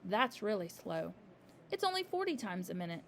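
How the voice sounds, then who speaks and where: A woman's voice: medium pitch, very animated, moderate pace, creaky. A woman reading a storybook aloud and voicing a clever little girl who talks breathlessly and urgently, pleading, over a faint hiss. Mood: curious.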